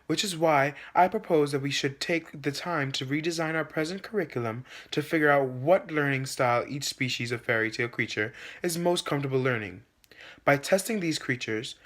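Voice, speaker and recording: A young man playing an earnest, reasonable presidential candidate, speaking in a measured, formal, scripted way in a student debate video. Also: mid-range voice, fairly bright tone, natural voice.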